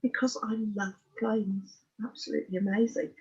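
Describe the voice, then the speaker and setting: Older English woman, sixties, husky voice on slightly dull audio, giving a frank, unpolished personal account as a guest. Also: mid-range voice, fairly even intonation, normal speed, a little mellow. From Oxfordshire, England. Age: 60s. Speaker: interview guest. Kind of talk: candid personal life story in conversation.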